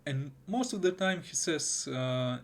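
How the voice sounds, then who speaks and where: A man's voice: fairly high pitch, animated. A young man whose first language is Russian, talking through an unscripted anime review with lots of restarts and fillers. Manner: halting, with frequent restarts and fillers. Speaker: YouTuber.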